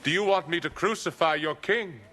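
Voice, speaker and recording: An actor playing a forceful, unyielding Roman governor in a film drama, declaiming with authority. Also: male voice, low voice, fairly even intonation, normal speed, a little hiss or hum. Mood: loud, challenging.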